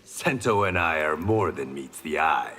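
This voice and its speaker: Blind warrior for a fighting game: low-pitched, sure of himself, defiant, in a ringing hall. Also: male voice, lively intonation, measured pace, sharp tone, husky, exaggerated voice. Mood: cool defiance. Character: blind swordsman, defiant, self-assured warrior. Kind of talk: video game fight-intro dialogue. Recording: reverberant hall, faint noise.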